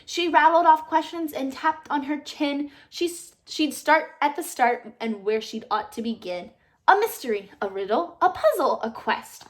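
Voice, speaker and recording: A woman reading a children's picture book aloud in an expressive, animated storytelling manner with emphatic phrasing. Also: sits high, normal speed. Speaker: storybook reader.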